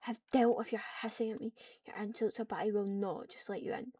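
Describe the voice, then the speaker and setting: A woman's voice: medium pitch, steady tone, a little fast, mellow, a little hiss or hum, lightly acted. A woman voicing a hostile, distrustful wild cat in an online roleplay, with an accusing, snappish manner. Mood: angry. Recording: muffled, distant microphone.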